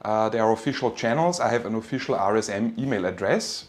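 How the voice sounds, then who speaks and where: A man's voice: fairly low pitch, lively intonation, quick pace. Informal male lecturer. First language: German. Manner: friendly, encouraging, informal address. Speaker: university finance lecturer. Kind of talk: live online lecture, informal.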